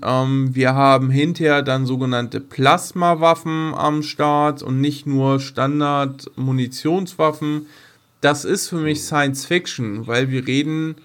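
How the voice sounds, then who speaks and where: A middle-aged man co-hosting a podcast in casual, unscripted conversation, explaining things and checking for agreement. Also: fairly high pitch. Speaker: podcast co-host.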